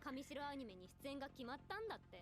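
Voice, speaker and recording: A prickly teenage girl from an anime. Her voice is high and swings widely, racing along over clear background music. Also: very animated, rapid-fire. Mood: prickly, taunting. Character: prickly teenage girl, young radio voice actress. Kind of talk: anime voice acting.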